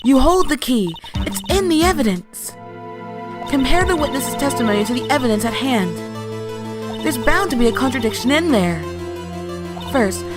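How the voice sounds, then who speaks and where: Game fan dub mentor female actor: assured lawyer, slightly high voice, instructive and encouraging, music underneath. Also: natural voice. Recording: music over the voice.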